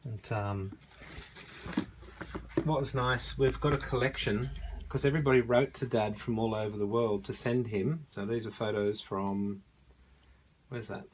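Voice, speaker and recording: A middle-aged man reminiscing casually in an unscripted way, often halting and searching for his words. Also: lowish voice, steady tone, background noise. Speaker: storyteller showing family photo archive.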